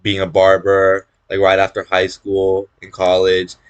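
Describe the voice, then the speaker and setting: Deep-voiced young man chatting casually. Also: unhurried, smooth, muffled recording. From California. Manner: relaxed, many fillers and restarts. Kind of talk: casual chat.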